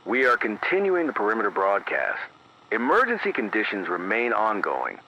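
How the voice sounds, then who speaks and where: An American man playing a careful, sober emergency radio news anchor, reading a scripted bulletin in a measured, deliberate way through an AM radio filter. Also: fairly low pitch, huge pitch swings, muffled recording. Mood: grave, controlled. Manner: measured, deliberate, cautious, repeating key words for weight. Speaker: radio broadcaster. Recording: band-limited AM radio sound with hiss.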